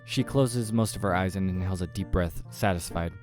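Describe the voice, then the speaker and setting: A man with a fairly low voice narrates a horror visual novel as he plays it, reading the story aloud. Music plays along behind him. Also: a little fast. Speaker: video game let's player reading all parts aloud.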